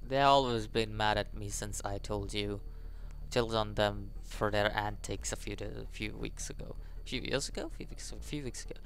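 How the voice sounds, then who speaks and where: A man's voice: lowish voice, normal speed, fairly bright tone, slight background noise, poor audio. A man hosting a gaming video, reading story text aloud with stumbles and adding reactive commentary, faint music behind. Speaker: gaming channel host. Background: faint game music.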